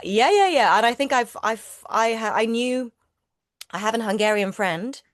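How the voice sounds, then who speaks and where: A woman's voice: fairly high pitch, lively intonation, moderate pace, no echo, studio quality. A middle-aged woman whose first language is Swedish, an actor chatting in an interview, talking freely with many restarts and repeated words. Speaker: actor guest. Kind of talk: casual interview chat.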